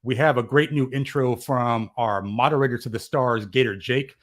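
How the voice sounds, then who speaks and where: A man's voice: medium pitch, normal speed. A man chatting casually as a live show host, with an enthusiastic, effusive way of talking.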